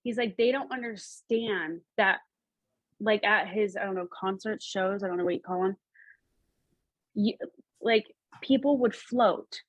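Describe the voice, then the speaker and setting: A woman working as a psychic medium, relaying messages in a halting, repetitive, animated way. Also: medium pitch, no room sound, poor audio. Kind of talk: spoken reading, relaying messages in quotes.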